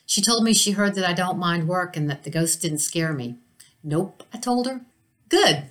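A woman's voice: lowish voice, lively intonation, a little fast. A woman telling a first-person ghost story in a conversational way, acting out the quoted speech as she reads. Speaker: reader of a listener's story. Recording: music behind the voice.

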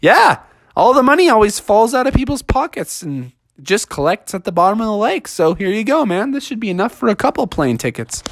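A friendly, laid-back lake monster with a lively, bouncy voice, as a man puts him on. He talks casually and lonely-hearted, and it is recorded close and dry. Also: mid-range voice, a little fast, no room sound, voice pushed up.

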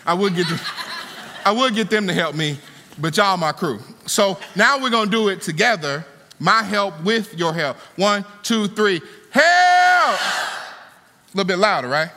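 Male preacher, dynamic sermon delivery, bright and forceful, big reverberant church. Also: animated, measured pace. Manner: dynamic, swings from soaring to low conversational asides. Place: church auditorium. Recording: long hall reverb.

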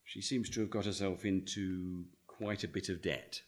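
A man's voice: deep voice, steady tone, moderate pace, close to an everyday voice. An actor playing a middle-aged author and father in a radio play, speaking with dry, sardonic wit. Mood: gentle, rueful.

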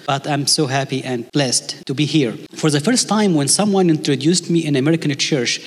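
A man with an Egyptian Arabic accent, a native Arabic speaker, preaching a sermon as a visiting pastor with a playful, joking manner. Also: fairly high pitch. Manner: playful, jokes with the congregation. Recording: long hall reverb.

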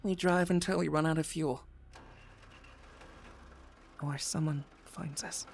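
A woman playing a grieving young son in an audio drama, speaking quietly and haltingly. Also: deep voice, steady tone, gravelly, slight background noise, exaggerated voice.

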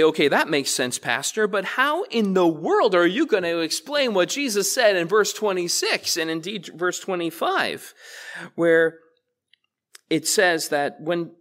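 A man's voice: on the high side, fairly bright tone. A man preaching a sermon as pastor to his congregation, talking to them directly and casually. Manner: conversational, addresses congregation directly. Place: church.

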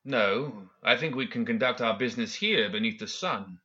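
Man performing suave villainous professor, audiobook character, crisp bright voice, formal, mockingly courteous, slightly dull recording. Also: mid-range voice, expressive, moderate pace. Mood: falsely genial. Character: suave, smug, always-controlled male professor villain.

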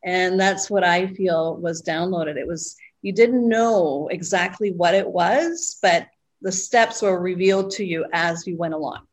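Reflective middle-aged woman, lowish voice. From Costa Rica, raised abroad. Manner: reflective, pausing with fillers. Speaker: guest, retreat owner. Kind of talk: interview.

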